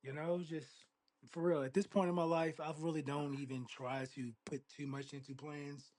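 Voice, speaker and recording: A man's voice: fairly high pitch, level tone, normal speed, a little mellow. A man co-hosting a podcast in casual chat, joking around, swearing freely and laughing often. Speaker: podcast co-host.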